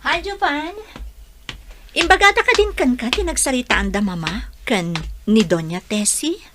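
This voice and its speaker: An eager young bride-to-be in a radio drama. Her voice is very high and lively, rising and dipping through her questions, over faint noise. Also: a little slow.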